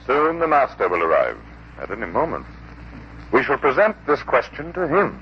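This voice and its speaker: A man's voice: medium pitch, sing-song, normal speed, natural voice. Man acting a pompous, swooping old-radio bigwig. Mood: smug, sly. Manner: pompous, wheedling, theatrical. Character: pompous self-important male farm overseer and host of guests. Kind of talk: 1940s radio drama acting. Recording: muffled, noisy 1940s radio recording.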